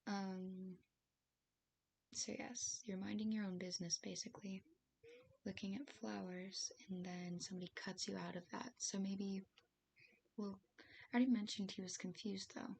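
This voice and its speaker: A woman with a raspy voice streaming as she writes, thinking aloud hesitantly with many fillers and soft laughs. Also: mid-range voice, little pitch movement, moderate pace. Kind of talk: casual live-stream musing while writing. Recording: faint hiss behind the voice.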